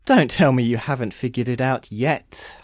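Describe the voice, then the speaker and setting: A man playing an immortal sorcerer in an audio drama, suave and teasing, with measured, formal phrasing. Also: medium pitch, no echo, clean studio sound, natural voice. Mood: smooth, businesslike.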